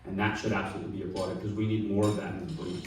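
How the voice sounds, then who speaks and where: A man's voice: sits low, little pitch movement, racing, slight background noise. A man from Long Island making an earnest, persuasive speech at a public panel, often checking in with "right?". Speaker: panel speaker. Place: meeting room. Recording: echoey hall, mic far off.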